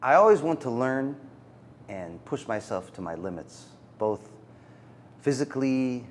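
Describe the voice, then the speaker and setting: A middle-aged man, a physician guest, answering interview questions in an earnest, halting way with many fillers. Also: medium pitch, sing-song. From United States. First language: Macedonian.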